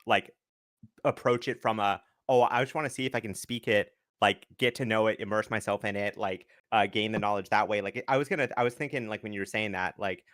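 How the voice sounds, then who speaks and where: Young man, quick speech, dry close sound, animated casual chat. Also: lowish voice, no echo. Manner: animated, swears a lot, acts out quotes. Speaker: livestream co-host.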